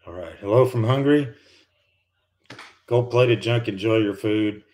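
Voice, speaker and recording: Older man, American accent, low voice, casual live-stream host, rambling and conversational. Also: measured pace, a little mellow. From United States. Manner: rambling, conversational, many fillers and restarts. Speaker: livestream host, retired pilot.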